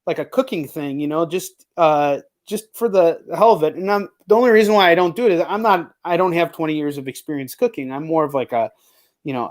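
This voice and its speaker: A man with a somewhat high voice hosts a loose, informal chat. He talks candidly, wanders through his thoughts and swears freely as he goes. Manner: candid, profane, rambling. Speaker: podcast host. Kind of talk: casual chat.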